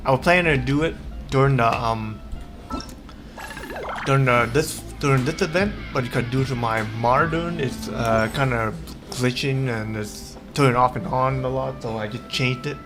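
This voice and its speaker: A man chatting casually on a livestream while he plays a game, rambling in a halting, repetitive way. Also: medium pitch. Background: game music.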